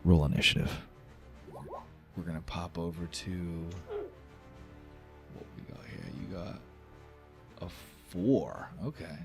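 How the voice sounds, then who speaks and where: A man's voice: deep voice, steady tone, takes it slowly, pauses often, music in the background. A man running a tabletop fantasy game, mixing dramatic storytelling with casual, sweary table talk. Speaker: game master.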